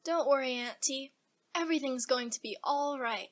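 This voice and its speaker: Actress as plucky earnest lil country girl, real high voice, radio play. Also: moderate pace, natural voice. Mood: eager, reassuring. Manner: plain, folksy, childlike. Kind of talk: radio drama acting.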